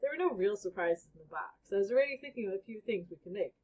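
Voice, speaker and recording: A woman with a husky voice reading a children's book aloud as a storybook reader. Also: mid-range voice, lively intonation, quick pace. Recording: dull, lo-fi recording.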